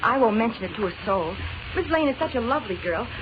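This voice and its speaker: A muffled old radio recording of an office secretary. She chatters quickly in a fairly high voice, earnest and deferential toward her boss. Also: very animated, noisy background. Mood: anxious, earnest. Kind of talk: 1940s radio acting.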